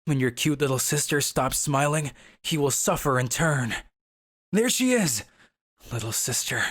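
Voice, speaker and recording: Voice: mid-range voice, lively intonation, unhurried. A performer voicing a brooding, cowardly teenage schoolboy who narrates a manga story in a melodramatic, scheming way. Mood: brooding, vengeful. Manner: melodramatic, sinister chuckling schemer.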